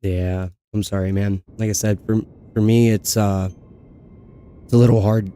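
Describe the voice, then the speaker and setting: A man's voice: fairly low pitch, level tone, normal speed, dark tone, a little hiss or hum. A man streaming a video game in casual live chat, with his voice giving out as he talks. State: losing his voice.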